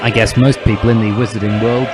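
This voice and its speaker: A man's voice: fairly low pitch, flat delivery, fast. A man narrating a comic dramatic reading of a first-person story.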